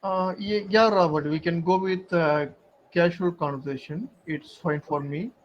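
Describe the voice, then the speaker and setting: A man from southern India, practicing English in a casual conversation, speaking haltingly in simple phrases with many pauses. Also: a little high, steady tone, muffled recording, a little hiss or hum. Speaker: English learner.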